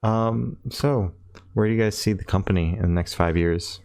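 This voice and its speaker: Deep laid-back man asking questions. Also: moderate pace, a little mellow. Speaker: podcast co-host. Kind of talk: casual interview hosting.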